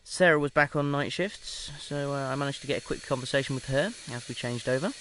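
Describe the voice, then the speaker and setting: A man acts out a research doctor dictating an audio-drama log of an unsettling experiment. His mid-pitched voice reports clinically, with conversational hesitations. There is audible noise and ambience behind him. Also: a little fast, natural voice. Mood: matter-of-fact, clinical. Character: male research doctor calmly logging a sinister experiment. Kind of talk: audio drama diary monologue. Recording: clear background noise and ambience.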